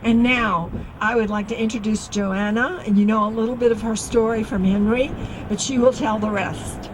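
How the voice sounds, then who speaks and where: A woman with a slightly high voice speaks in a measured, supportive way at an outdoor rally and introduces the next speaker. Faint traffic noise runs behind her. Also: fairly even intonation.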